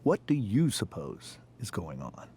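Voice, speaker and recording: Stern low-voiced American father. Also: wildly swooping pitch, dark tone, breathy edge, full character voice. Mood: probing, guarded. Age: middle-aged. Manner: measured, formal, speechifying. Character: stern, pompous district attorney and family father. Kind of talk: dramatic video game acting.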